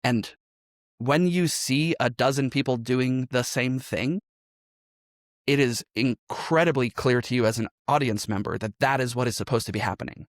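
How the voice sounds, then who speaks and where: Mid-pitched man explaining, dry close sound. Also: moderate pace, no echo. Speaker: interview guest, improv musical performer. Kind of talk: conversational podcast interview.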